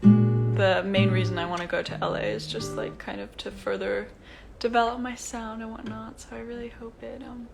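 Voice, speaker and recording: A young woman from Iceland chatting casually on a livestream, soft-spoken and rambling, talking into a phone. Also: mid-range voice, moderate pace, creaky, loud music behind the voice. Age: young adult. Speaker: singer-songwriter on a livestream. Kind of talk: casual chat to viewers.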